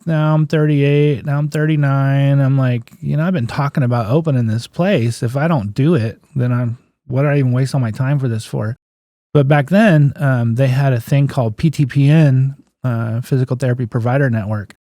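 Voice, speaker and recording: Male, 50s, interview guest. Mid-pitched, mellow delivery, anecdotal and plain-spoken, casual storyteller. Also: steady tone, moderate pace, no room sound, clean studio sound. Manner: anecdotal, acts out remembered dialogue, folksy plain-spoken phrasing. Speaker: guest, physical therapist and clinic owner.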